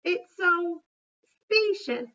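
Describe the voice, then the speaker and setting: A woman's voice: very high voice, animated, extremely slow, sharp tone, muffled recording, voice pushed up, exaggerated voice. A woman voicing a blunt, grumbling male dog in a read-aloud storybook, complaining with emphasis.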